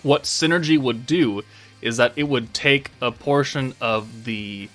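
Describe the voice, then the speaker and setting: A man's voice: mid-range voice, measured pace, fairly bright tone, faint music behind. A man giving casual, informal game commentary as a channel host, rambling and thinking aloud as he goes.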